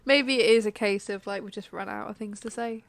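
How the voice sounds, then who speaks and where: A woman's voice: mid-range voice, fairly quick, dry recording. A young woman co-hosting a podcast, chatty and laughing easily, her voice a little congested from a cold. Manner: chatty, laughs often, playful boasting. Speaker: podcast co-host. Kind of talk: casual chat.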